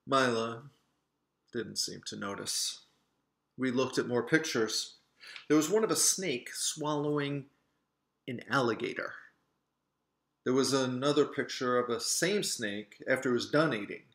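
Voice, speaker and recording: Man, children's storybook narration, lively pitch, slow pace, roomy echo. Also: creaky. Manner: expressive, asides to show pictures. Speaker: author reading own book aloud. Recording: roomy, echoing sound.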